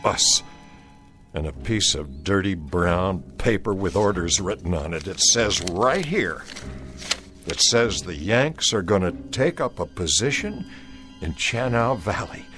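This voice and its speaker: An actor playing a steely Confederate scout who speaks in a gruff, commanding drawl in an old radio drama. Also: male voice, low-pitched, expressive, a little slow, over music. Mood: calm. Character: steely Confederate scout, young adult man, stern and dutiful. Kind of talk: 1960s radio drama acting.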